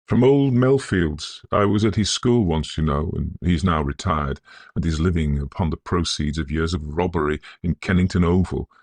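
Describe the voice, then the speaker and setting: Storybook role: disowned young gentleman played by a man, well-spoken, bitter and weary, with a creaky edge. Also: deep voice, normal speed, dry recording. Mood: wry, indignant.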